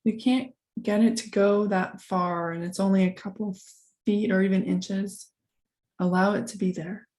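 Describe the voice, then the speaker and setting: A woman guides a meditation in a soft, mellow voice that sits at an ordinary middle pitch. Her delivery is calm and gentle. She gives each instruction without hurry and leaves long pauses to follow it.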